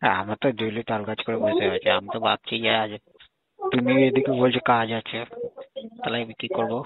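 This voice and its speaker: Fast-talking Bengali man on tinny phone. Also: lowish voice, fairly even intonation, a loud crowd. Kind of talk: casual intimate phone chat. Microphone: phone line. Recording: tinny narrowband phone call recording.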